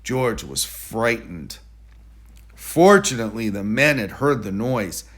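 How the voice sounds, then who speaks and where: A man reading a children's story aloud in an expressive storytelling style. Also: fairly low pitch, takes it slowly. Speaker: storybook reader.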